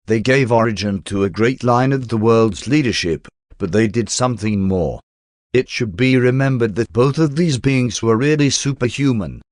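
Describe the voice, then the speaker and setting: A man reading scripted audiobook narration in a measured, formal manner. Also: mid-range voice, no room sound, studio quality.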